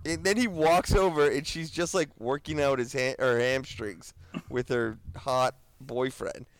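A man's voice: medium pitch, steady tone, a little slow, slight background noise. Man, podcast co-host, casual chat, in a car, sweating, laughing, squealing impressions. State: sweating in the heat.

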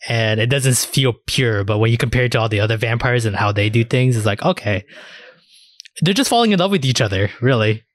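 A man's voice: mid-range voice, animated, fairly quick, no echo. Casual man in a dry recording. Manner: informal, swearing. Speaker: podcast co-host. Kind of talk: casual anime discussion.

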